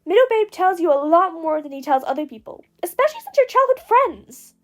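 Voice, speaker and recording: Woman playing a teasing, squeaky-high teenage girl. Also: big pitch swings, brisk. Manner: teasing, coaxing.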